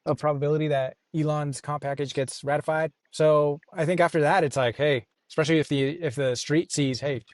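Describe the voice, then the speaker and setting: A man's voice: a little high, steady tone, a little fast, hardly any pauses, dry recording, clean studio sound. A man co-hosting a podcast, giving casual conversational market commentary with hedging, self-deprecating asides. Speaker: podcast co-host discussing stock investing.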